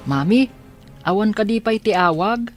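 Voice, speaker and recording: A woman's voice: medium pitch, lively intonation, measured pace, faint music behind, lightly acted. Woman playing curious little Ilocano boy. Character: young blind boy, curious and innocent. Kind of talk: radio drama acting in Ilocano.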